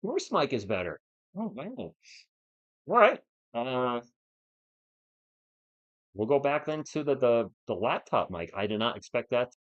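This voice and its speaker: A man's voice: mid-range voice, big pitch swings, a little slow, pauses often, muffled recording. Chatty man hosting a live stream. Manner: chatty, talks to chat, loud bursts when testing. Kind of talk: casual live-stream chat. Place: home streaming setup, indoors. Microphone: laptop or desk mic.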